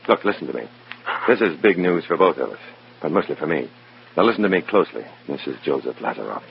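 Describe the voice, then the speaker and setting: Tired conflicted secret agent, super deep voice, old radio show narration, muffled recording w music. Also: male voice, big pitch swings, quick pace, vocal fry, exaggerated voice. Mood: urgent. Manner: brooding, clipped, hard-boiled delivery. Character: weary, conscience-torn American undercover agent posing as a communist. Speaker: narrator and lead actor. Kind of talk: mid-century radio drama acting, first-person narration.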